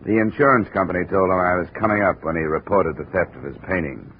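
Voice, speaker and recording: An American actor playing a dry, world-weary insurance investigator in a 1950s radio detective drama. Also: male voice, deep voice, fast. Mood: cool, businesslike. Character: wry, hard-boiled male insurance investigator narrating his case. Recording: dull, hissy old radio recording.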